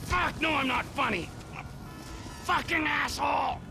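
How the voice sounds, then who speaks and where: An actor playing a volatile middle-aged criminal psychopath in a video game, menacing, erratic and swearing constantly. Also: male voice, very high voice, a little slow, vocal fry, raised voice, full character voice. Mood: explosive rage. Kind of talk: video game cutscene acting. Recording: game audio with music behind.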